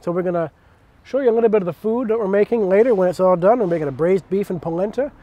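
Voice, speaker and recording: Higher-pitched man, casual and explanatory. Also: fast, mellow. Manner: conversational, explanatory. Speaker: host. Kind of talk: casual hobby chat and cooking demonstration. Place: outdoors in a leafy backyard.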